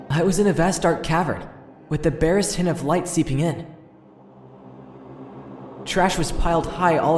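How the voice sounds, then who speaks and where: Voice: mid-range voice, darkish tone, lightly acted. Earnest teenage boy narrator in roomy echo , acted. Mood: weary, dazed. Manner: earnest, dramatic, inner-monologue delivery. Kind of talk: dramatic first-person game narration, fan dub. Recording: roomy echo, music underneath.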